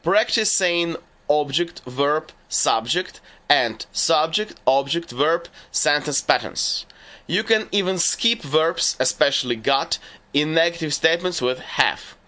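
A man giving scripted narration for a language lesson, laying out rules and lists in a measured, deliberate way. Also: a little high, lively intonation, pushed and bright. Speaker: language teacher.

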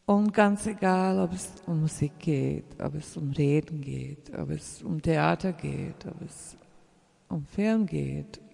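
A speaker with a German accent, a native German speaker, giving an earnest, encouraging message to fellow artists, halting and searching for words with many fillers, and trailing into soft whispers. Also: medium pitch, gravelly, husky. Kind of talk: casual spoken message to listeners. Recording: roomy with long reverb.

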